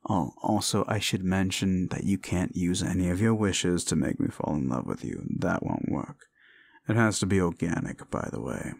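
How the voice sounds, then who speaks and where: A man's voice: low voice, moderate pace, gravelly. A man playing a cruel, condescending demon in an audio roleplay, talking down to the listener with mocking, theatrical delivery. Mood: contemptuous. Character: condescending, cruel male demon summoned as a date.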